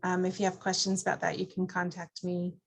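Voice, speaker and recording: A woman leading an informal class, with a noticeably creaky edge to her voice. She explains step by step and is encouraging as she takes questions. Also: fairly low pitch, monotone, a little fast. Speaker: class instructor. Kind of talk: informal instructional talk with group questions.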